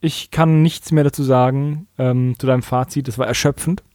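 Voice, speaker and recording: A man's voice: mid-range voice, fairly even intonation, normal speed, darkish tone, dry recording. A man in casual chat as a podcast co-host, with a dry, wry humour.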